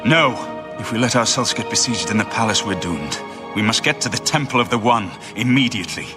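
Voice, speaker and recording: A man acts out a resolute young heir to an empire in a fantasy game. His voice is on the low side, earnest and measured, with formal phrasing. Loud music plays behind him. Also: lively intonation, natural voice. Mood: commanding. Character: solemn, resolute male heir to an imperial throne. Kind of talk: video game dramatic dialogue.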